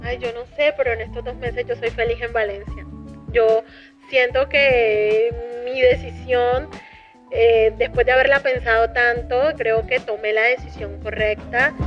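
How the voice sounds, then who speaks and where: A woman's voice: a little high, fairly even intonation, unhurried, muffled recording, faint music behind. A middle-aged woman from Colombia with a Colombian Caribbean accent touched by Mexican speech, a guest talking earnestly with many fillers. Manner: earnest, hesitant with frequent fillers. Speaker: interview guest. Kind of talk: casual interview conversation.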